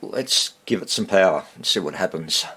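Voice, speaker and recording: A man giving casual, unscripted hands-on repair commentary, thinking aloud in short fragments with a husky, gravelly voice. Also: low voice, moderate pace, pushed and bright, a little hiss or hum. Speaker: hobbyist radio repairer demonstrating on video.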